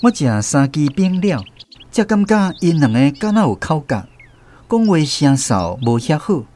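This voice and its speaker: A man recounting his small-boy adventures for a radio drama, with a Taiwanese accent. His voice sits a little high and swings widely in pitch as he tells the tale. Soft plucked guitar plays behind him. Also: a little slow. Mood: reflective, storytelling. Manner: animated first-person storytelling. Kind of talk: scripted radio drama narration. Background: plucked guitar music.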